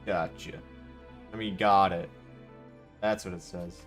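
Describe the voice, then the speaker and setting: A man's voice: deep voice, fairly even intonation, slow pace. A man streaming a game with casual live commentary, musing aloud and echoing the game's lines. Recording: game music behind the voice.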